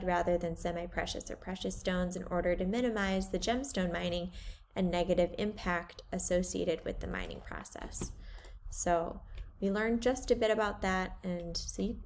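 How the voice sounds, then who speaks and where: A woman art teacher talking students through a website and a poster template, relaxed, with many ums as she thinks aloud. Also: lowish voice, a little hiss or hum. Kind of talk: screen-share class walkthrough.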